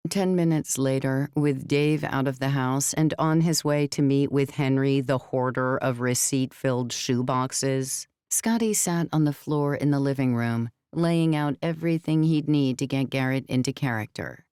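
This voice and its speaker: A woman's voice: very deep voice, normal speed, hardly any pauses, a little mellow, clean tone, no echo, clean studio sound. A woman narrating scripted fiction as a storyteller in a full-cast audiobook. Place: dry recording studio.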